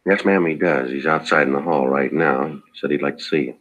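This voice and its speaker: An actor playing a terse, businesslike police detective in a 1950s radio drama, deadpan and matter-of-fact, with a husky voice. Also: male voice, a bass voice, a little fast, dry recording, dull audio. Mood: polite, probing. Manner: clipped, deadpan, politely matter-of-fact questioning. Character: terse, businesslike male police detective narrating his case. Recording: vintage 1950s radio broadcast.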